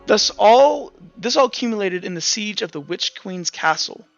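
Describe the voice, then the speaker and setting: A man's voice: high-pitched, expressive, normal speed. A man running a tabletop game, telling a long lore story with frequent fillers and restarts. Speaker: game master. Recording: dull lo-fi sound with faint music.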